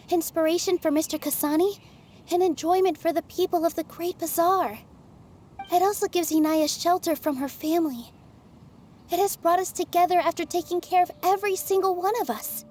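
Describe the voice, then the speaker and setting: A gentle, earnest young dancer, speaking in a high, soft voice with thoughtful, reassuring warmth. Faint game music hums behind her. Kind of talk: video game voice acting.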